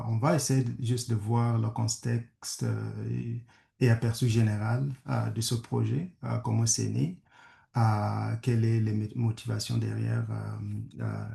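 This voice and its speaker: Halting, gravelly man, French. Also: medium pitch, mellow. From Kenya. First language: English. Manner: halting, many fillers and restarts. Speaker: guest speaker, conflict studies professor. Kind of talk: hesitant webinar presentation. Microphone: video call. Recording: echoey room.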